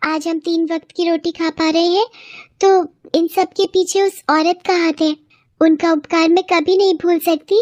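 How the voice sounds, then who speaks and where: A woman's voice: very high voice, fairly even intonation, darkish tone, no room sound, lo-fi sound, voice pushed up. A woman voicing an earnest, pleading young orphan girl in a cartoon moral story. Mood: grateful, prayerful.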